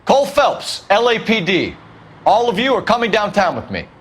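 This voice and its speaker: A man's voice: sits high, wildly swooping pitch, normal speed, slight background noise. An actor playing a stern, driven police detective in a video game, commanding and clipped as he questions and gives orders. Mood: commanding, shouting. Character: stern, driven male police detective, hard-nosed and controlled. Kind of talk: cinematic video game acting.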